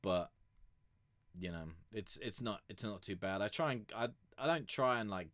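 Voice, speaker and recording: A man with a very deep voice chats off the cuff on his music stream. He rambles and restarts, dropping fillers and the odd curse, and lifts his voice a touch above its lowest register. The sound is close and dry, with no room around it. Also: lively intonation, normal speed, fairly bright tone, dry recording. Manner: rambling, many fillers and restarts, casual swearing; consciously keeps his voice raised above its natural depth. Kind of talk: casual chat.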